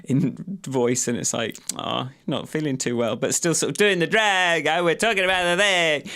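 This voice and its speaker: A man's voice: fairly high pitch, moderate pace, pushed and bright. A man co-hosting a podcast in casual chat, rambling a little with restarts and fillers. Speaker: podcast co-host.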